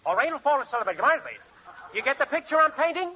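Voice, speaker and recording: An actor playing a hotel manager who rattles off confident double-talk gibberish in a 1940s radio comedy. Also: male voice, extremely high pitch, sing-song, fast. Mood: pompous. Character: fast-talking male manager spouting double-talk gibberish. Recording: hissy lo-fi old radio recording.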